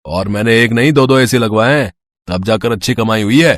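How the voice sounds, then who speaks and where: A man's voice: mid-range voice, a little fast, fairly bright tone, lightly acted. Man playing an arrogant, taunting rival owner. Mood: mocking, boastful. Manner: taunting, dismissive. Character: arrogant, mocking, heartless rival restaurant owner. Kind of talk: dramatised moral story acting.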